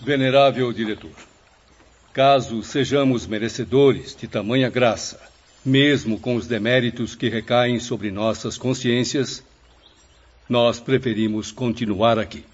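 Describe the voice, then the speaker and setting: A man's voice: medium pitch, steady tone, a little slow, slightly rough. A man acting an earnest, grateful spirit in a radio drama, speaking in a courteous, formal manner. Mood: grateful, respectful. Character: earnest, grateful male spirit, respectful pupil and friend. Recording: slightly dull, muffled audio.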